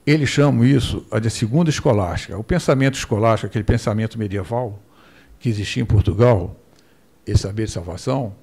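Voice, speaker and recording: An older Brazilian man giving a measured history lecture from a lectern microphone in a lecture hall, posing rhetorical questions and asking for the next slide. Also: mid-range voice, vocal fry, dark tone, slightly rough. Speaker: guest lecturer. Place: lecture hall with projector screen, indoors.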